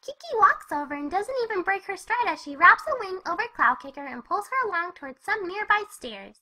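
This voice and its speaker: A woman voicing a bubbly, excitable young female cartoon pony, perky and chatty as she narrates a storybook tale. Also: very high voice, brisk, exaggerated voice. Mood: animated storytelling. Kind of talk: first-person storybook narration with character dialogue.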